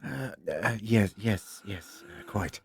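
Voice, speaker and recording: A man's voice: fairly low pitch, slow, gravelly, a little hiss or hum. A man playing an earnest, inquisitive time-travelling doctor in an audio drama, grave and precise in his explanations. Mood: concerned, questioning. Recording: loud music under the voice.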